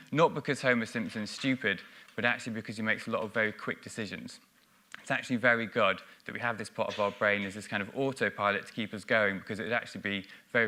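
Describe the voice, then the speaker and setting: A man with a lowish, forceful, bright voice gives a conference talk on stage. He explains his points step by step and often pauses on "um" or restarts a phrase. He is speaking into a stage microphone. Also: a little hiss or hum. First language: English. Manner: explanatory, frequent ums and restarts. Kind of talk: business conference presentation.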